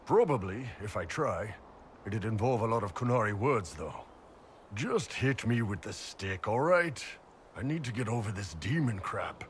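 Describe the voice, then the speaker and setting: An actor playing a huge, gruff, brash warrior mercenary in a video game, with a gravelly voice and blunt, swaggering manner. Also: male voice, on the low side, animated, normal speed, a little hiss or hum, lightly acted. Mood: low, gruff. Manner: blunt, swaggering, casual swearing.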